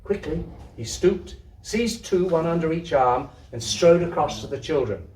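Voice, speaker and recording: A man narrates a literary story with theatrical expression. His mid-range voice moves up and down in a lively way. It sounds roomy, picked up from some distance in an indoor venue. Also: fairly high pitch, measured pace, a little hiss or hum. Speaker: story reader, theatre troupe member. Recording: echoey room, distant microphone.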